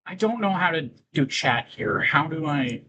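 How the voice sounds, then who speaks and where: A man doing casual livestream commentary on a game, reading on-screen text aloud and halting to break into asides. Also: fairly high pitch, animated, sharp tone, husky, dull audio.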